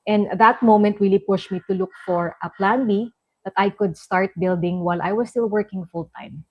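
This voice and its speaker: A woman's voice: medium pitch, normal speed, lo-fi sound. A woman from the Philippines, a guest on a live online interview, who speaks in a friendly way and calls the host sis.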